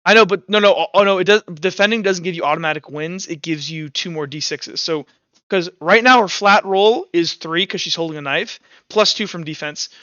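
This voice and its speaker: A man's voice: high-pitched, a little fast, sharp tone, no room sound, muffled recording. A man acting as game master, mixing casual rules talk with informal, vivid narration of combat in a tabletop roleplaying game.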